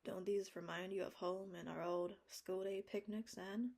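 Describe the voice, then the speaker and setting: A woman reading an audiobook voices an earnest young man, speaking quietly and low. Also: steady tone, normal speed, creaky. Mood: calm. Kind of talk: audiobook character voice.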